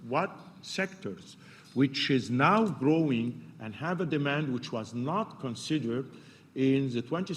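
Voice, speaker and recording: Loud-voiced man presenting in a hall. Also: medium pitch. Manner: loud voice, halting with fillers. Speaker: panel speaker. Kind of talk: conference presentation. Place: university lecture hall. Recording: echoey hall with faint noise.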